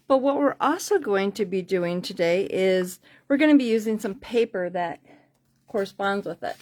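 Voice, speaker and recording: Woman, craft livestream host, mid-pitched, informal and rambling, slightly worn voice, casual tutorial with a sales pitch. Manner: chatty, rambling, informal, repeating herself. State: losing her voice slightly. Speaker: host of a card-making craft class livestream.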